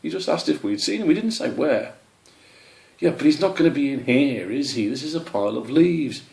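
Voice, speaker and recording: A man's voice: medium pitch, animated, brisk, gravelly, darkish tone, voice pushed up. A man voicing an argumentative, nitpicking male hedgehog in a children's storybook. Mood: bickering, exasperated. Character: bickering, pedantic, literal-minded male hedgehog. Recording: roomy, echoey sound.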